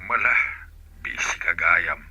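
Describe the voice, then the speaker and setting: An actor playing a lonely, widowed elderly father who speaks softly and plaintively in a husky voice. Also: deep voice, slow pace, full character voice. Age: older. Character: lonely widowed old father, gentle, longing. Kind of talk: radio drama acting.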